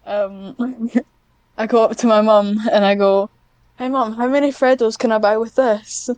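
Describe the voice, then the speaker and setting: High-voiced young British woman, chatty and rambling. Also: fairly even intonation, measured pace, a little mellow, clean tone, no echo. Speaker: podcast guest. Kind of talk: casual chat.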